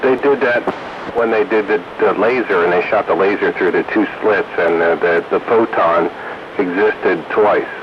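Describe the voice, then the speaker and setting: A man's voice: low voice, normal speed. A man chatting casually as an operator over CB radio. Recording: noisy, muffled CB radio transmission.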